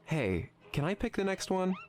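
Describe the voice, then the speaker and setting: A man's voice: sits high, very animated, normal speed, over music. A man playing a sarcastic, irreverent film nerd in a visual novel game, wry and mischievous in his needling.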